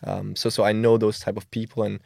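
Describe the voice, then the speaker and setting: A man's voice: on the low side, flat delivery, gravelly, a little mellow, no room sound, studio quality. A man of twenty-one from Denmark, talking earnestly as a podcast guest and often repeating words as he goes. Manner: earnest, quick, with frequent word repeats. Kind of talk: casual interview talk.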